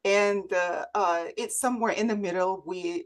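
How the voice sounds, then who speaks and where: A woman's voice: mid-range voice, steady tone, normal speed. A woman hosting a conversational interview show, speaking over a remote video call. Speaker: host.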